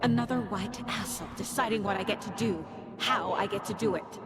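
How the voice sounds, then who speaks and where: A woman's voice: medium pitch, fairly quick, creaky, over loud music, close to an everyday voice. An actress playing an anguished, despairing woman investigator in a video game, blaming herself in short, weighted phrases. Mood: bitter self-blame. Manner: bitter self-blame in short, weighted phrases. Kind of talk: dramatic video game acting.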